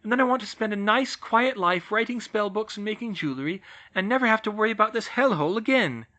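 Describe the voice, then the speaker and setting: Brief: an overworked young witch, loud, high and strained, swinging wildly in pitch. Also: expressive, fast. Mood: distraught. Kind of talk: audiobook reading of fan fiction. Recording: slightly muffled recording.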